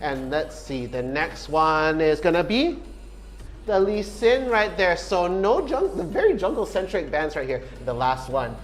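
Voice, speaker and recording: A man's voice: on the high side, lively intonation, a little slow. A young Malaysian man casting live esports commentary, playful and building hype for the match. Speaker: esports caster.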